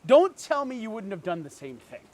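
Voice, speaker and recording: A man's voice: sits high, sing-song, fairly quick, a little mellow. A man acting a cocky, teasing twin brother who is flippant and unfazed by jail in an amateur drama reading.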